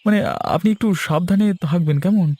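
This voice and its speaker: A man's voice: high-pitched, normal speed, darkish tone, no room sound. A man voicing a timid, deferential and helpful village man in a cartoon, with hesitant, stammering speech. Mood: polite, nervous. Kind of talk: cartoon voice acting.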